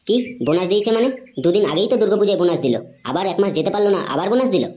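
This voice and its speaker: A woman's voice: mid-range voice, animated, rapid-fire, warm tone, natural voice. A woman voicing a hot-tempered, foul-mouthed cartoon boy, brash and slangy, with a West Bengali accent. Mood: indignant. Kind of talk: comedy cartoon dialogue. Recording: clearly noisy background.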